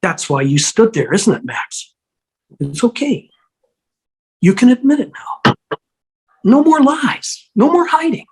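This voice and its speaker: A performer in an online table read playing a menacing, bloodied dead older brother, with slow, insinuating, taunting delivery over a video call. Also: mid-range voice, big pitch swings, husky, exaggerated voice. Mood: accusing, menacing. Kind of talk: fan table read acting.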